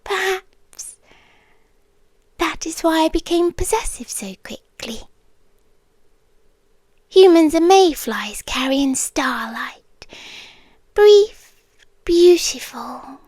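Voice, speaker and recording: A British woman playing a possessive, centuries-old nonhuman girlfriend in an intimate ASMR roleplay, speaking softly, half in whispers, with wry courtesy. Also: sits high, expressive, no echo, exaggerated voice. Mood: wistful, possessive. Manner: soft, breathy, half-whispered, deliberate, wryly courteous.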